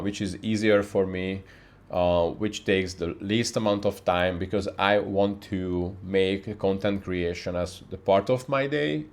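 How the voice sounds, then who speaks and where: A man's voice: sits low, a little mellow. A young man speaking English as a second language, talking earnestly to camera in a home studio, often restarting his sentences. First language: not English. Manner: earnest, frequent restarts, non-native phrasing. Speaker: YouTube creator. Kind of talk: unscripted talking-head vlog. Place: dark room, home studio with softbox. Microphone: camera mic facing the speaker.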